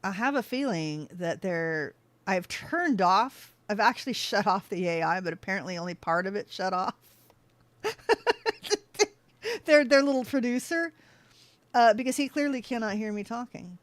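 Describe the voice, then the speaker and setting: A woman's voice: medium pitch, lively intonation, normal speed, no room sound. A woman streaming a game, chatting casually with her viewers, easily sidetracked and laughing often. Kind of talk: casual livestream chat while playing.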